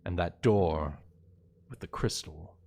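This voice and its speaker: A man playing in an online tabletop roleplay session, talking in a measured, deliberate way. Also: low voice, darkish tone, slightly rough. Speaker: tabletop game player.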